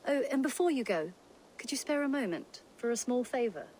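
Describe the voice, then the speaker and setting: A woman's voice: a little high, lively intonation, a little fast, a little mellow, slight background noise, natural voice. A woman playing a shrewd, confident hunter and trader in a video game, polite, articulate and businesslike. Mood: concerned, resolute. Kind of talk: video game character acting.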